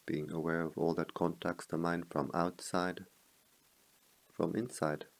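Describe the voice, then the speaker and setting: A man with a very low voice and a heavy, creaky fry guides a meditation. He speaks as a teacher, in short, measured phrases. Long pauses separate his slow instructions. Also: little pitch movement.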